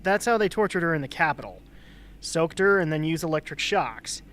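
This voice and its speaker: A man's voice: fairly high pitch, lively intonation, a little fast, a bit bright. A man playing a blunt, sardonic older mentor in an amateur radio drama. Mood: somber. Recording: faint background noise and ambience.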